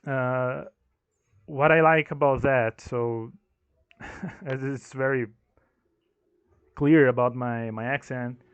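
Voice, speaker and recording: A man's voice: medium pitch, fairly even intonation, slow, long pauses, clear, resonant tone, no room sound, lo-fi sound. A young man who speaks English as a second language, casually explaining his own tabletop game system and thinking aloud as he goes. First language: not English. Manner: rambling, self-correcting, thinking aloud.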